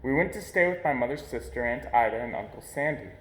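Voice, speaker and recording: A man reading a children's picture book aloud in an expressive storytelling style, pausing deliberately for emphasis. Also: mid-range voice. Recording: echoey, roomy sound.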